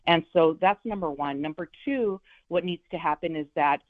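Woman candidate on a tinny phone line. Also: sits low. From Los Angeles County. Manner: firm, measured, with frequent "uh" fillers. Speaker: prosecutor and political candidate. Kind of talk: radio interview guest.

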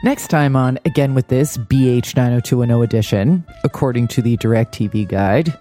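A woman's voice: extremely low pitch, normal speed, dark tone, faint music behind. A woman hosting a casual TV recap podcast in a sardonic, joking way. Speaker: podcast host. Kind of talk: casual TV recap chat with read announcements.

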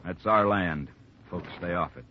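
A low male voice as an embittered western rancher's son with a short temper. He is gruff and defensive, in old radio drama style, on a muffled recording with faint noise. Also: unhurried. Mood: bitter, defensive. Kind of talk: 1950s radio drama acting.

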